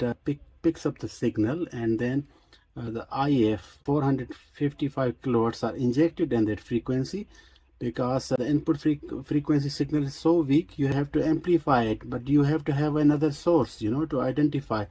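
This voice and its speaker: A man casually demonstrating a product unscripted, explaining technical details in a halting way. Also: medium pitch, dark tone, husky, low-quality recording. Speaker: radio reviewer and demonstrator.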